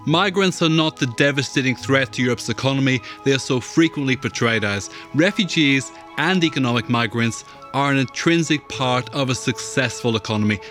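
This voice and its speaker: A man narrating a documentary in a measured, pointed scripted delivery. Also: medium pitch, fairly bright tone.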